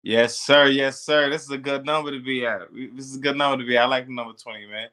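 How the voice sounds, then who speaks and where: A man's voice: mid-range voice, steady tone, fast, pushed and bright. A man co-hosting a casual podcast in heavy slang, often repeating his phrases for emphasis. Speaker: podcast co-host.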